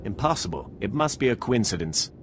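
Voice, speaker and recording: An actor playing an anxious, flustered scientist in a video game. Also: male voice, on the low side, big pitch swings, a little fast, background noise. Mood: disbelieving. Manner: flustered, fretful.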